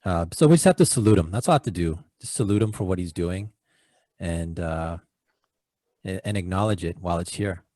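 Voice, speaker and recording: Low laid-back man co-host. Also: brisk, dark tone, dry recording. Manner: laid-back, playful teasing. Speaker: podcast co-host. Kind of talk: casual podcast chat.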